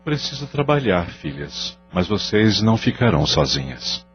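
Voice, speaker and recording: A man playing a tired, serious father who talks calmly and firmly in an audio drama. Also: on the low side, normal speed. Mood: reassuring. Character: troubled father, middle-aged, tired and serious. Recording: dull lo-fi audio, faint music.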